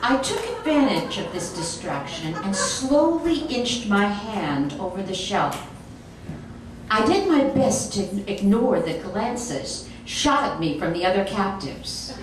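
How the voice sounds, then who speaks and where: Echoey, distant woman reading a comic story. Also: mid-range voice. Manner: animated, expressive storytelling. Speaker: reader. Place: echoey room, recorded from a distance. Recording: very echoey room, distant mic, noisy.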